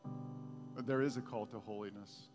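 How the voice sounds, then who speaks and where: Man praying softly over guitar music. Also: fairly low pitch, steady tone. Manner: halting, repeats words, speaks softly and intimately. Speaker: pastor. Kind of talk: prophetic prayer ministry.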